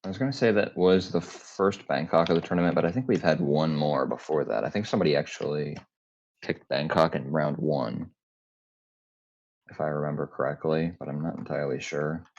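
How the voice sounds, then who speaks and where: A man with a deep voice giving casual, laid-back live commentary on a game match, thinking aloud with fillers. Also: a little fast, darkish tone, highs cut off, a little hiss or hum. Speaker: tournament commentator. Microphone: home streaming mic, lower quality.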